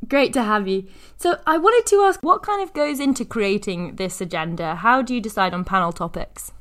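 A woman's voice: medium pitch, lively intonation, normal speed, a bit bright. A woman hosting a podcast, introducing guests and asking interview questions.

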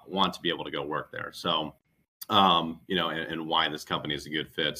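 A man speaking as a guest recruiter, giving conversational career advice in a halting way, often rephrasing himself. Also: extremely low pitch, pushed and bright.

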